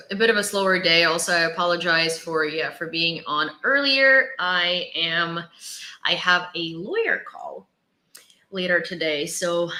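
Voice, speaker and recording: Young woman from Ukraine, livestream host, chatty and rambling, bright voice, echoey room. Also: fairly low pitch. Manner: chatty, rambling, many fillers and restarts. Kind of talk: casual livestream chat, reading viewer comments.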